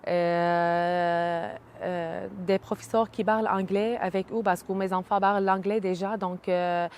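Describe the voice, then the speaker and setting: Syrian woman, 30s, arabic first language, lots of euhs and pauses, faint hiss behind. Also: on the low side, steady tone, a little mellow. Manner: hesitant, many pauses and "euh" fillers. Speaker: interviewee. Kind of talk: casual interview.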